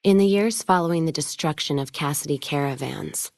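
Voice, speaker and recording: Low female voice, hard-drinking wasteland caravaner, blunt, sardonic, folksy, game dialogue, close dry recording. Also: brisk, darkish tone, no echo. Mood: wry, reflective. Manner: blunt, wry, folksy drawling phrasing. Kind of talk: video game voice acting.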